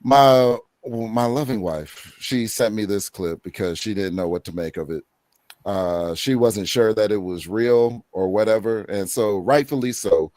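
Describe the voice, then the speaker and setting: A man telling a story at a deliberate pace on a panel talk show. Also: sits low, mellow, dry recording. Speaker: panel co-host presenting a clip. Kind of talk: casual panel chat.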